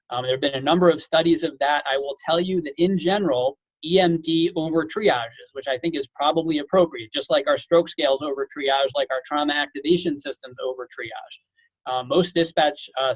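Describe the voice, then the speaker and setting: A man's voice: fairly high pitch, no room sound, dull audio. A man giving an informal webinar lecture as a physician, explaining things conversationally with casual asides. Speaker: physician lecturer, medical director.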